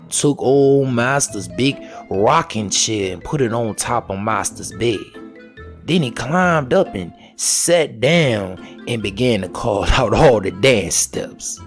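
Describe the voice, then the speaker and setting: Guy telling an old folktale, real slow and folksy, bit of music behind him. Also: mid-range voice, expressive. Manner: folksy vernacular, unhurried. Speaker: storyteller. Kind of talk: dramatic oral folktale storytelling.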